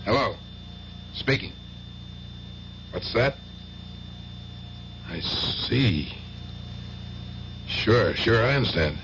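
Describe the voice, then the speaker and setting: An actor playing a steady, protective, plain-spoken uncle in an old-time radio drama. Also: male voice, fairly low pitch, very slow, pauses often, full character voice. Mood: matter-of-fact. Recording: dull, noisy old radio recording.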